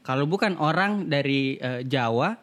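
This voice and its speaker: A man's voice: fairly high pitch, animated, measured pace, fairly bright tone. A man with a Makassar accent, co-hosting a podcast and reading out listener messages in casual chat. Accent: Makassar Indonesian. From Makassar, Indonesia. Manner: chatty, reads out listener messages, laughs often. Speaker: podcast co-host.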